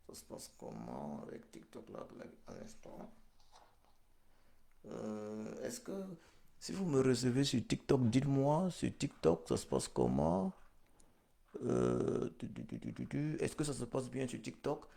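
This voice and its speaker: A middle-aged man, recovering from a hospital stay, hosting a live-stream political address in a solemn, slow, repetitive way full of fillers. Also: medium pitch, wildly swooping pitch, creaky, dark tone. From Cameroon. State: convalescent after hospital stay. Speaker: political livestream host.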